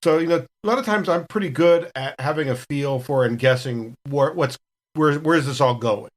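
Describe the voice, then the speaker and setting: A man's voice: a little high, normal speed. A middle-aged man, a veteran gamer on a podcast, chatting casually in a folksy, rambling way. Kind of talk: casual group chat.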